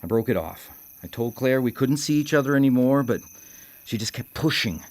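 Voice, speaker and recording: An actor in a radio drama playing a guilt-ridden male killer, halting as he confesses and menacing in manner. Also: medium pitch, expressive, close to an everyday voice. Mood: subdued confession. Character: brooding guilt-ridden male serial killer, haunted by his mother. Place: live radio drama broadcast.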